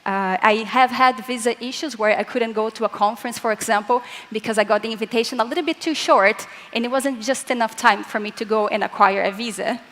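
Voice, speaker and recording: A woman's voice: a little high, a bit bright. Woman presenting in an echoing hall. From Latin America. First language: not English. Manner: earnest, explanatory, addresses audience directly. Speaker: physicist giving a conference talk. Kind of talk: conference presentation. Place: large conference hall, on stage. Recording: hall reverb from a large room.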